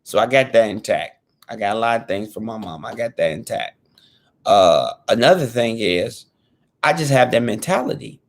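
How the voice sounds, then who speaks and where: A man's voice: on the low side, animated, normal speed. A man in his thirties talking casually to camera as a fitness vlogger, earnest and repetitive, restating his points in informal slang. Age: 30s.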